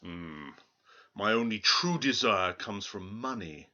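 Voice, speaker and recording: A man voicing a greedy fairy-tale king, imperious and deliberate in his commands. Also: on the low side, lively intonation, pushed and bright, highs cut off, voice pushed down, full character voice. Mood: greedy doubt.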